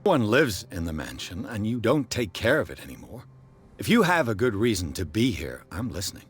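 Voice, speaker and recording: An actor playing a composed, persistent private detective in a video game, speaking in a measured, probing, firm way. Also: male voice, lowish voice, wildly swooping pitch, slight background noise. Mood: firm, persuasive. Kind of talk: video game cutscene acting.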